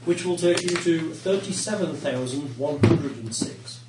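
Husky man with a cold, far off. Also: fairly high pitch, measured pace. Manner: teasing, reads out numbers. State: has a head cold, husky. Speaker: game master. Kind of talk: casual banter, running a tabletop game. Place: room with friends around a table. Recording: echoey room, distant mic, noisy.

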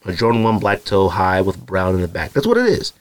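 A man in his thirties with a naturally deep voice, hosting a podcast in loose, slangy casual chat full of fillers and asides to his listeners. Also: wildly swooping pitch, fairly quick, clean tone. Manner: feels hot and uncomfortable while recording. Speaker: podcast host. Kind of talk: casual solo podcast rambling.

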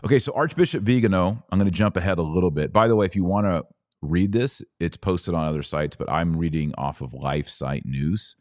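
A man's voice: low voice, a little fast, a little mellow, dry recording, studio quality. A man hosting a religious podcast, explaining scripture in a didactic, emphatic way, drawing out key words and posing rhetorical questions. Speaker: podcast host.

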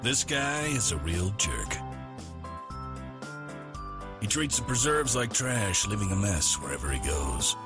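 Sarcastic low male ad voice over music. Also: big pitch swings, measured pace, pauses often. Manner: scornful, sarcastic. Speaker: ad narrator. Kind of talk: scripted mocking ad narration.

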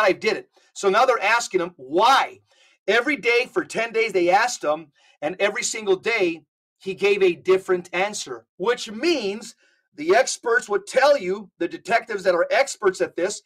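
A man with a high voice speaks as a guest on a casual podcast. He tells his stories in an emphatic, animated way.

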